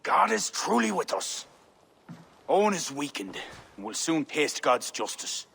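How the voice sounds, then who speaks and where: A man playing a pious, commanding medieval Irish high king in a video game, solemn and declamatory. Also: very animated, measured pace, creaky, sharp tone, breathy edge, exaggerated voice. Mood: righteous, stern. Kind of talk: video game cutscene acting.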